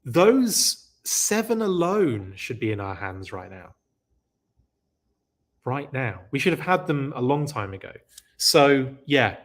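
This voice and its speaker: Rambling man with a scratchy throat. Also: fairly high pitch. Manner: chatty, rambling, self-correcting. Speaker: podcast host. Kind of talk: live stream chat reading comments.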